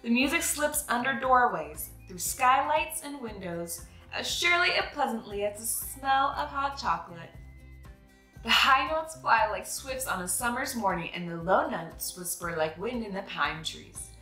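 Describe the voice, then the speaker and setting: Young female read-aloud host, bright lively delivery, dramatic storybook phrasing, recorded in a roomy space. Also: on the high side, a little slow, faint music behind. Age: young adult. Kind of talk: expressive picture-book storytelling.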